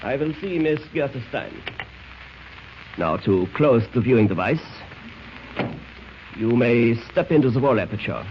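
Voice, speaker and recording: An old, muffled, hissy radio drama recording. a suave foreign spy chief with courtly, formal phrasing and a pitch that moves up and down a great deal. He is deliberate and quietly menacing. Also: lively intonation. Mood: smooth, commanding. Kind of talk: 1940s radio drama acting.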